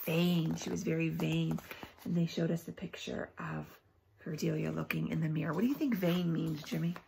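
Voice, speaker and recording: A woman teacher reads a children's book aloud expressively, pausing often for emphasis and to put questions to young listeners. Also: low voice, fairly even intonation, vocal fry, darkish tone, slight background noise.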